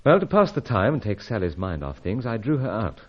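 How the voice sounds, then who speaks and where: An actor playing a suave, steady secret agent in an old radio adventure serial, speaking in a calm, gallant, clipped manner. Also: male voice, fairly low pitch, fairly quick. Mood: grave narrating. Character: suave, steady British secret agent hero, adult man. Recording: dull, lo-fi old radio recording.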